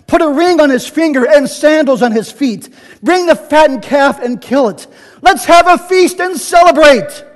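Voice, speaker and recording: A man's voice: very high voice, animated, moderate pace, voice pushed up. A man playing a loving, jubilant, forgiving old father in a dramatic Bible reading, exclaiming with emotion. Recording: echoey church hall, long reverb.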